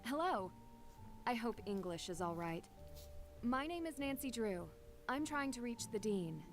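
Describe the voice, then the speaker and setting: A young female detective for a video game, with a fairly high, animated voice. She is inquisitive and polite but pointed, asking direct questions over faint music. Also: measured pace.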